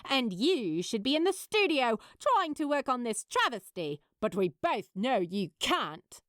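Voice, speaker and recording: A woman's voice: on the high side, sing-song, measured pace, no echo, clean studio sound, pitched above the performer's own voice. Woman voicing a fierce, scornful female writer. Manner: storming, sneering. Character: fierce, scornful, outspoken female children's show creator.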